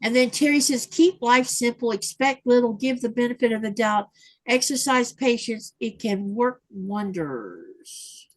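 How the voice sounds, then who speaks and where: A woman hosting a home craft livestream talks in a relaxed, chatty way, full of ums and uhs. Her voice sits a little high. She reads viewer comments aloud and adds her own remarks. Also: a little slow, low-quality recording. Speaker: livestream host reading chat. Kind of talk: casual chat. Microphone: livestream mic.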